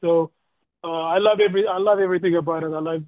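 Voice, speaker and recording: A man from Ethiopia who runs a restaurant, introducing himself haltingly with many fillers on a call. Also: high-pitched, steady tone, no echo. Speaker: restaurant owner. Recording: tinny phone line.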